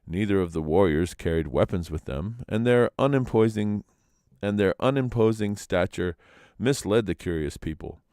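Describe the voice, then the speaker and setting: A man narrating legends as a storyteller, in a dramatic, expressive reading. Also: on the low side, normal speed, no room sound, clean studio sound.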